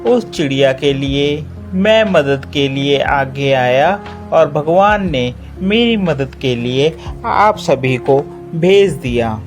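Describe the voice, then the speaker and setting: Devoted sparrow husband for cartoon tale, played by a man: gentle, earnest, reassuring, music behind. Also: on the high side, expressive, slow pace. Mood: humble. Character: kind, earnest male sparrow, devoted suitor.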